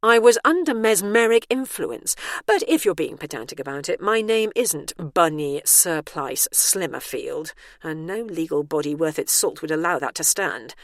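A fiery, exasperated woman, as a woman reader puts her on, loud and sarcastic with a lively, swooping voice. The sound is close and dry. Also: deep voice, moderate pace, few pauses, no echo. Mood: indignant. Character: indignant sharp-tongued woman stuck in retirement complex. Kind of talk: audiobook character voice.

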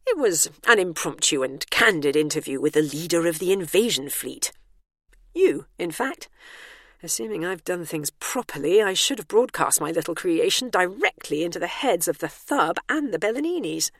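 A woman's voice: fairly low pitch, expressive, moderate pace, no room sound, studio quality. A woman voicing Bernice, a cheeky older heroine who is smug and breezy.

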